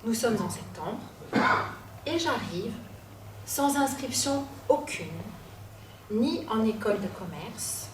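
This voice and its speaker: Slow-telling young woman in an echoey hall. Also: fairly high pitch, expressive, noisy background. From Corsica. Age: 20s. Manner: slow, dramatic pauses, self-mocking asides. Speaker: student storyteller. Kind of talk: personal storytelling talk. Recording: echoey room, from a distance.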